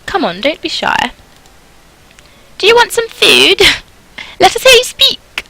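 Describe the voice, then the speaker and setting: A woman's voice: very high voice, sing-song, slow pace, creaky, pushed and bright, pitched above the performer's own voice, exaggerated voice, poor audio. A woman voicing a haughty, mocking young noblewoman in a visual novel, theatrical and condescending. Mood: gleeful mockery. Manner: theatrical, condescending, gushing cruelty.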